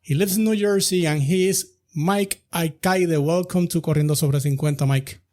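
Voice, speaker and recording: A man with a Puerto Rican accent hosting a podcast in his second language, with a lively, sing-song delivery. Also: on the high side, normal speed. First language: Spanish. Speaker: podcast host. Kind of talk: casual podcast chat.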